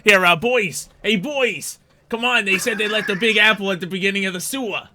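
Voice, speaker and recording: A man voicing a bossy, streetwise sewer rat in a tabletop game, briskly rallying others. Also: high voice, background noise, pitched above the performer's own voice, lightly acted. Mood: urging.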